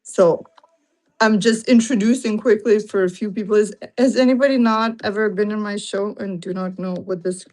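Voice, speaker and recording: A woman's voice: medium pitch, moderate pace, a little mellow, low-quality recording. A woman from Lithuania with a Lithuanian accent, hosting a casual late-night livestream from home, greeting viewers and laughing often as she talks. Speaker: livestream seller host. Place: home room, sitting on carpet.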